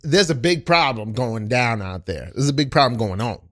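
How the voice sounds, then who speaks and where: Man acting stubborn rapper, pompous, stammering, repetitive, very animated pitch, improvised comedy. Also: normal speed, lightly acted. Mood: indignant. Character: aggrieved, self-important male rapper, easily offended, stubborn. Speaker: guest comedian.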